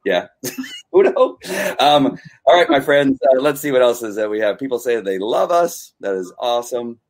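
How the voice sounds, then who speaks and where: A man's voice: mid-range voice, animated, normal speed. A man hosting a casual livestream chat, speaking in an earnest, playful and hesitant way. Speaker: host.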